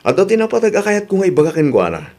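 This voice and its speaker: Man acting a high-voiced, sulky young suitor. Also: wildly swooping pitch, rapid-fire, mellow, full character voice. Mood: hopeful. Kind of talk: Ilocano radio drama acting.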